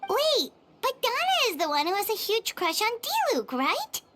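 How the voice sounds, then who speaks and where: A woman voicing a small, childlike, nosy fairy companion in a video game, squeaky and exaggerated in a cartoonish way. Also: big pitch swings, normal speed, sharp tone, slight background noise, full character voice. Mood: puzzled. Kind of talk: video game character acting.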